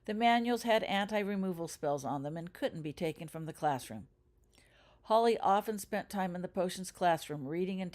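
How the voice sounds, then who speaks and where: Low-pitched woman reading a story aloud. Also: darkish tone. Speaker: author reading their own fan fiction aloud. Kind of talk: scripted audiobook narration.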